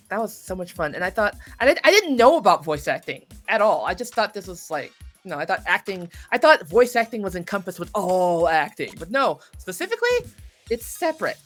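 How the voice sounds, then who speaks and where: A woman voice actor being interviewed, chatting casually during a video game in an excitable, halting way, often interrupting herself. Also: medium pitch, soft background music. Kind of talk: casual chat while playing a video game.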